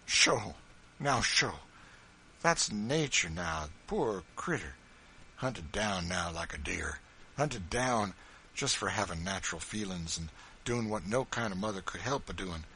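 A man voicing a huge, kindly older farmer with a rustic Kentucky dialect, talking in a loud, folksy, emphatic drawl. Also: low-pitched, expressive, a little slow, a little hiss or hum, exaggerated voice. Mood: pitying.

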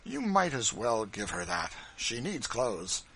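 A man voicing a soft-hearted senator husband who muses tentatively in a storybook reading. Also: medium pitch, huge pitch swings, slow, slightly rough, heavily acted, rough recording. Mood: musing, tentative.

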